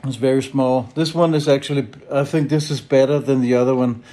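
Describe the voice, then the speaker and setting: A man with a Danish accent giving a rambling, casual monologue, hesitant and halting, with blunt swearing. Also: mid-range voice, fairly even intonation, mellow. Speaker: solo activist and vlogger.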